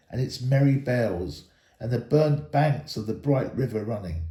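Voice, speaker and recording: A man reading a children's story aloud in an expressive storytelling style. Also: mid-range voice, reverberant. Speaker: storybook reader, school staff member.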